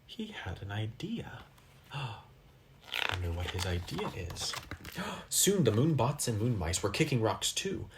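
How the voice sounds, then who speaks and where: A person reading a children's storybook aloud expressively, with dramatic pauses and asides to young listeners. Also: mid-range voice, sing-song, background noise. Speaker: storybook reader.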